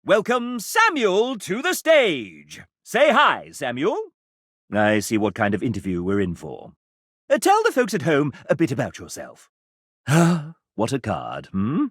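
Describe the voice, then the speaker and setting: Casting: flamboyant game-show gentleman for a video game, sardonic and pompous, highish pitch, hugely swooping delivery. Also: unhurried, no echo, clean studio sound, full character voice. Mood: showy, excited, then dry, sardonic. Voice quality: animated theatrical delivery. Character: sassy, flamboyant gentleman host, pompous and playful. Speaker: game show style host and narrator. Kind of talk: theatrical video game narration.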